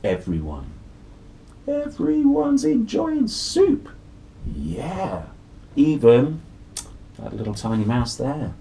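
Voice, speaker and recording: A man hosting a picture-book read-aloud, telling the story in a playful, exclamatory way with drawn-out phrases and chatty asides to children. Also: mid-range voice, wildly swooping pitch, gravelly, warm tone. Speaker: host reading picture books aloud.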